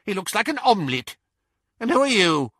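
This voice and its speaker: A man's voice: high-pitched, sing-song, normal speed, fairly bright tone, dry recording, studio quality, voice pushed up, full character voice. A man voicing a cantankerous, snobbish, very old witch in an audiobook, barking bluntly critical remarks. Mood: scolding. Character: cantankerous, imperious, snobbish 107-year-old great-aunt witch.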